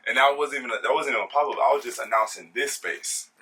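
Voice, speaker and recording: A man's voice: medium pitch, fast, pushed and bright. A young American man chatting casually and off the cuff, with a laid-back, slangy way of talking. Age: young adult. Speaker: athlete and clothing brand founder. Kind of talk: casual unscripted chat. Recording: clearly noisy background with clicks.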